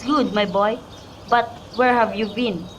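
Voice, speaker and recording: A woman playing a holy friar and gently scolding mentor in an amateur radio play, reciting carefully with small stumbles. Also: on the high side, a little slow. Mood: kindly concern. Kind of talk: student radio drama, recited modern Shakespeare. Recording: clear background noise with birdsong.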